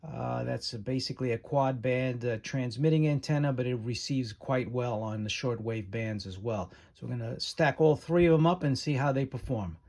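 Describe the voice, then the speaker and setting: A man giving a casual, unscripted hands-on product demo, halting and pausing as he goes. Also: mid-range voice, vocal fry. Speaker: radio hobby reviewer, video host. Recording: loud radio static and hiss behind. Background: shortwave radio noise.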